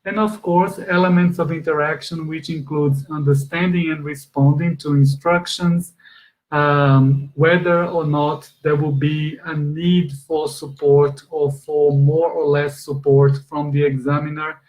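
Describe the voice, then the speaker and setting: A man's voice: on the high side, little pitch movement, a little slow, a little mellow, low-quality recording. A middle-aged man whose first language is Portuguese, presenting an online teacher webinar over a webcam from a home office, explaining steadily with many fillers. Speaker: exam trainer. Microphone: webcam video call.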